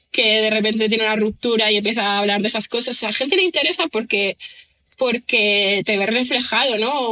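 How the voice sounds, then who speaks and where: Bright-voiced woman musing aloud. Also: a little high. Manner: thoughtful, rambling, frequent tag questions and fillers. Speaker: guest writer and screenwriter. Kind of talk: conversational podcast interview.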